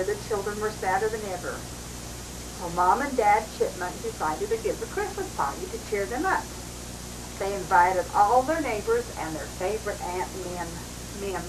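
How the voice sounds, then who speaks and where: An older woman, a grandmother, reading a storybook aloud to children on a noisy old home recording. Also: medium pitch. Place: at a family Christmas party.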